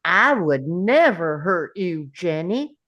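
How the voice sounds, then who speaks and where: Simple, earnest young man in love, read by a woman, unhurried and low, for a film script table read. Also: big pitch swings, a bit bright, muffled recording, heavily acted.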